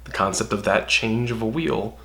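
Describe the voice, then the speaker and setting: A man's voice: lowish voice, normal speed, gravelly, a bit bright. A man co-hosting a casual analytical fan discussion, explaining his ideas with emphasis. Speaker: co-host, fan commentator.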